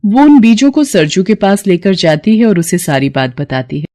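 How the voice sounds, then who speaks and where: A woman's voice: mid-range voice, big pitch swings, moderate pace, warm tone. A woman storyteller reading a scripted children's tale as narrator.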